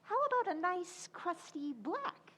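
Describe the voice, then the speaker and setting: A woman's voice: very high-pitched, expressive, a little slow, fairly bright tone, full character voice. A woman playing a scatterbrained, eager housewife friend in old-time radio sitcom style. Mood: wry. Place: community theatre stage radio recreation.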